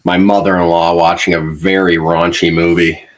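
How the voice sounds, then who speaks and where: A man's voice: low voice, level tone, moderate pace. A man co-hosting a podcast in easygoing casual chat, still congested. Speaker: podcast co-host.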